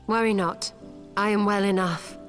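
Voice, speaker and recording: An actress playing a gracious, scholarly female mage in a fantasy video game, speaking in formal, measured, archaic phrases. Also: medium pitch, steady tone, faint music behind, natural voice. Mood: calm, reflective.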